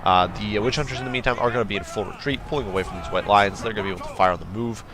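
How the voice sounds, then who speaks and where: A man commentating a strategy game battle in a quick, chatty way, his voice nasal from a cold. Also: lowish voice, noisy background. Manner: quick, chatty, many fillers and restarts. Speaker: gameplay commentator.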